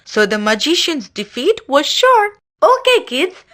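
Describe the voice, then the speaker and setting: A woman's voice: high voice, very animated, measured pace, sharp tone. A woman presenting to children in a cheerful, instructive way, teaching new words. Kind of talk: teaching vocabulary to kids.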